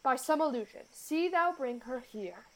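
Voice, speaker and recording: A woman's voice: high voice, animated, normal speed, fairly bright tone, poor audio. A woman playing a commanding, spell-casting king of the fairies in a Shakespearean radio play, speaking verse in a stately, declamatory way. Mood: stern, commanding.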